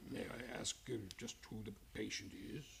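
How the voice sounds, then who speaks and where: An actor playing a nervous, genteel, condescending senior psychiatrist who stammers and hesitates in a creaky voice, in old-time radio style. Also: male voice, on the low side, fairly even intonation, moderate pace, fairly bright tone, a little hiss or hum. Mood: uneasy, patronizing. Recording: studio radio play with music behind.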